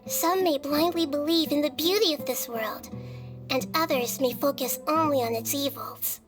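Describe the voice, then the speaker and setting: Woman doing little girl goddess for a game, high sweet voice, calm wise formal talk, music behind. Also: natural voice. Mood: serene, wise. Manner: measured, formal, philosophical speech. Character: small childlike goddess of wisdom, serene and gentle. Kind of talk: video game voice acting.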